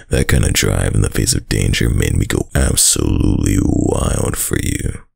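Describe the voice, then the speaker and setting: A man playing a dominant, doting young mafia heir in an intimate boyfriend roleplay, with a very deep, gravelly voice and a slow, tough-guy delivery. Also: a little mellow, no echo, clean studio sound, heavily acted. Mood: teasing, admiring. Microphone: close, dry microphone.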